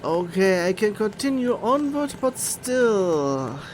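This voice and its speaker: Slow, halting woman commentating a game. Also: mid-range voice, sing-song, a little mellow, a little hiss or hum. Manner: halting, thinking aloud. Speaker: game streamer. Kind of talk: casual live playthrough commentary.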